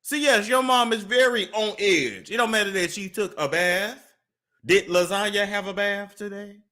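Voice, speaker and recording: A young man with a high, bright voice hosts a gossip commentary over video clips. He mocks what he sees with quick jokes and laughing asides. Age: young adult. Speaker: commentary host.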